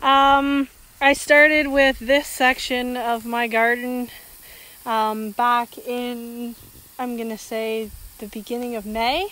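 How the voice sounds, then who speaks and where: A woman's voice: fairly high pitch, takes it slowly, rough recording. A woman in her thirties giving a casual, chatty tour of her vegetable garden, with lots of ums, outdoors on a phone held at arm's length. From Canada. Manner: relaxed and chatty, with many ums. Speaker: homesteader vlogger.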